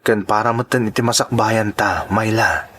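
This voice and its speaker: A man's voice: fairly low pitch, steady tone, normal speed, bright, forceful tone. A man with an Ilocano accent playing an earnest, devoted young son from a poor family in a radio drama. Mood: tender, pleading.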